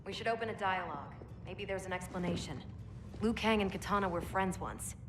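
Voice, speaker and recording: An actress voicing a young, wisecracking female soldier with brash, quick banter. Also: mid-range voice, noisy background, natural voice. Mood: earnest. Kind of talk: video game cutscene acting. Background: loud game score.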